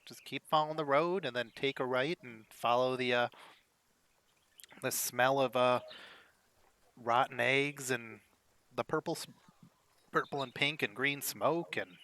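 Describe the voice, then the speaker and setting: A chatty male halfling musician, as a game master voices him rambles, boasts and cracks jokes. The voice is bright and forceful, and the pitch sits in a middle range. The scene comes from a tabletop game played around a table. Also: unhurried, long pauses. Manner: rambling, teasing, fond of jokes.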